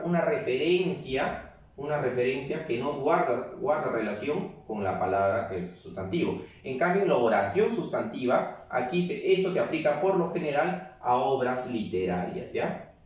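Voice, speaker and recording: Teacher in a very echoey room. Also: male voice, medium pitch, lively intonation, moderate pace, darkish tone. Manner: explains step by step, keeps checking with "¿ya?". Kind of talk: classroom grammar lesson. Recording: very echoey room, mic far off.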